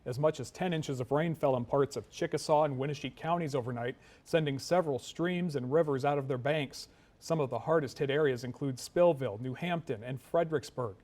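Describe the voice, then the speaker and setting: A man's voice: mid-range voice, darkish tone. An American man anchoring a local TV newscast in a scripted broadcast style. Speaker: news anchor. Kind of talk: scripted broadcast news delivery.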